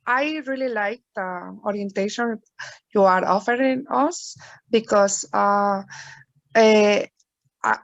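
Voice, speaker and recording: A woman taking part in a workshop, asking questions in a polite, halting way. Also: medium pitch, gravelly, no echo. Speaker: workshop participant. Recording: dull, dry lo-fi call audio.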